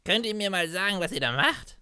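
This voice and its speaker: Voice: medium pitch, animated, a little fast, bright, forceful tone, smooth, dry recording, clean studio sound. An amateur performer voicing a cocky, jealous cartoon pony gamer in a fan audio drama, whiny and boastful. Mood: suspicious.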